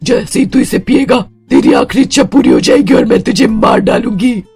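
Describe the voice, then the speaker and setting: A man playing a gloating, menacing witch in a horror story, with a raspy cackle and a mocking, taunting way of talking. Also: extremely high pitch, huge pitch swings, moderate pace, darkish tone, soft background music, full character voice. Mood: menacing glee. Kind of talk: Hindi horror story character acting.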